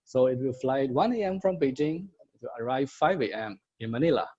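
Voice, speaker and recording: A man from China, telling a personal story in a slow, deliberate prepared club speech over a video call. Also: mid-range voice, lively intonation, dark tone, husky. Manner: slow, deliberate, with simple plain phrasing. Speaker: prepared speaker. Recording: muffled lo-fi audio.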